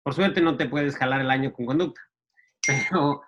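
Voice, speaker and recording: Man telling stories, halting. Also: medium pitch, fairly bright tone, a little hiss or hum. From Ecuador. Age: 40s. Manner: halting, many restarts and fillers, laughs at himself. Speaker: guest entrepreneur. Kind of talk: casual storytelling.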